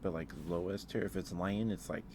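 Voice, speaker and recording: A man doing a casual, rambling ASMR livestream, speaking in a hesitant, musing way and repeating himself over clear background noise. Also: low voice, steady tone, a little fast. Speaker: live streamer.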